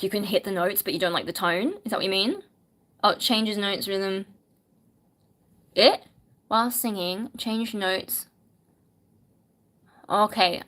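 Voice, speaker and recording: A woman talks through viewer questions on a casual livestream. Her voice is bright and forward, sitting in an ordinary middle range. She muses aloud with plenty of ums as she thinks. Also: medium pitch. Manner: chatty, musing, lots of ums, reads chat questions aloud. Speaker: singer and streamer answering chat.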